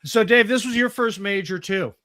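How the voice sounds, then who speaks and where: A man's voice: high voice, moderate pace, sharp tone. A man hosting a cast discussion in a casual, conversational way. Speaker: host of a radio players troupe discussion.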